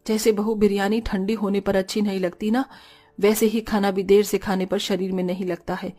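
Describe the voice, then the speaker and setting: A woman's voice: medium pitch, level tone, normal speed, mellow, soft background music, lightly acted. A woman voicing a caring but firm, scolding middle-aged mother-in-law in a cartoon family drama. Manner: reproachful, motherly.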